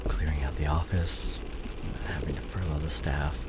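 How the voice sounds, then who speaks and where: A man's voice: extremely low pitch, fairly even intonation, moderate pace, very noisy. A man playing a fallen, evasive CEO boyfriend in an intimate, dramatic roleplay audio drama. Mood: tender, confessing. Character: cheating fallen CEO boyfriend, smooth-talking, evasive, image-obsessed.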